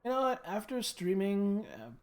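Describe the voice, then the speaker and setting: A game streamer with a hoarse, irritated throat, chatting casually and giving blunt, earnest advice with the odd swear word. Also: male voice, sits high, expressive, moderate pace, a little mellow. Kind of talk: casual chat while playing.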